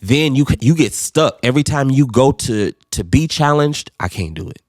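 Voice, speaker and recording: A man's voice: medium pitch, expressive, normal speed, a little mellow, no echo, clean studio sound. A man co-hosting a podcast, talking earnestly and reflectively in casual conversation, swearing now and then. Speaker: podcast co-host.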